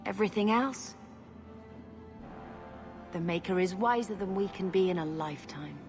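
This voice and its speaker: A woman's voice: medium pitch, moderate pace, darkish tone, faint music behind, natural voice. A woman playing a devout, resolute younger sister in a video game, speaking earnestly and reflectively. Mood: earnest, wistful. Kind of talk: dramatic video game cutscene acting. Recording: long reverb, faint ambient noise.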